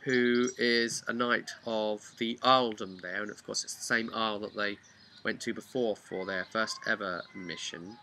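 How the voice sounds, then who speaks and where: A man's voice: fairly low pitch, steady tone, unhurried, bright, forceful tone, slight background noise. A man telling a casual, unscripted recap of a tabletop game as its game master, rambling and using repetition for emphasis.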